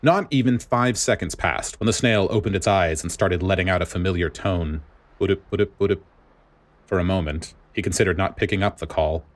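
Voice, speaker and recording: A male narrator reads scripted fiction aloud. His voice is deep and low, and he delivers the prose as storytelling. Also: a little fast, hardly any pauses.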